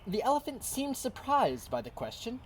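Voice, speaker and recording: A woman's voice: a little high, very animated, moderate pace, slight background noise, low-quality recording. A woman reading a children's story aloud expressively. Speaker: storybook reader. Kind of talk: expressive read-aloud narration.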